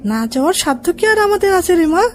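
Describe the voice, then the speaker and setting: A woman voicing a tender, resigned mother goat in a children's cartoon, consoling gently and sorrowfully. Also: extremely high pitch, very animated, brisk, soft background music. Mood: sorrowful resignation.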